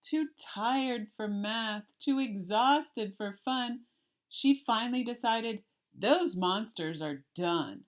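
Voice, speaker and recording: A woman reading a children's picture book aloud like a teacher, with deliberate, expressive storytelling and emphasis on the rhymes. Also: fairly high pitch. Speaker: elementary art teacher.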